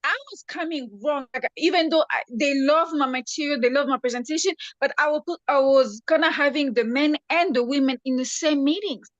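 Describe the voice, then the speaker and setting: A woman's voice: high voice, a little fast, hardly any pauses, no room sound, muffled recording. A woman from the Congo, hosting a talk show and chatting enthusiastically, with many hesitations. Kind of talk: casual talk-show chat.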